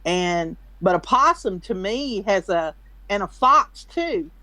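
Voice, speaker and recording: A woman speaks slowly and haltingly in a casual online voice chat, often restarting her sentences as she recalls a story. Her voice is dry and close to the mic. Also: medium pitch, expressive, sharp tone, no echo. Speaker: caller. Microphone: computer mic in a voice chat.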